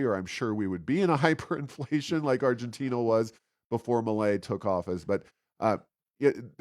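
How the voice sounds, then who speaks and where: A man's voice: low-pitched, no room sound, clean studio sound. A man hosting a talk podcast, giving unscripted political and economic commentary in a discursive, argumentative manner.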